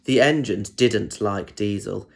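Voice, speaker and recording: A man's voice: fairly low pitch, slow, gravelly, a little hiss or hum. A man reading a children's story aloud expressively, with chatty asides and questions to young listeners about the pictures.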